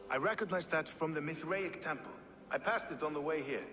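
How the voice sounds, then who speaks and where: A man's voice: a little high, fairly quick, bright, forceful tone, a little hiss or hum. An actor playing a hard-bitten, pragmatic city strongman in a video game, speaking dryly and bluntly. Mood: blunt, suspicious. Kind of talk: dramatic video game acting. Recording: long echoing hall, music behind.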